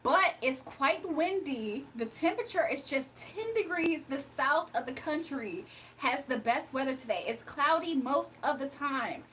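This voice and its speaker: A woman putting on the voice of a stiff female TV news anchor reading a teleprompter, in a slow, measured cadence. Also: high voice, expressive, pushed and bright, a little hiss or hum, heavily acted. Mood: formal.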